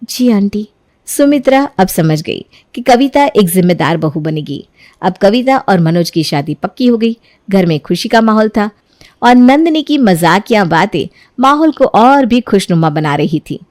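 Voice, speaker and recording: A woman's voice: mid-range voice, lively intonation, normal speed, darkish tone, no echo, clean studio sound. A woman narrating a scripted moral story as its storyteller.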